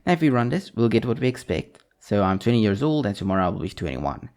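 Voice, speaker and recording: Lowish male coding teacher voice. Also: sing-song, fast talker, a little mellow. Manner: talks while typing code, reads out strings. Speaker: programming teacher. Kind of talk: screen-recorded coding tutorial.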